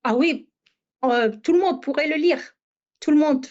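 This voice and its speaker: A woman in her fifties from Morocco, a poet answering interview questions hesitantly, with many pauses and fillers. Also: sits high, darkish tone, muffled recording. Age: 50s. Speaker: poet guest.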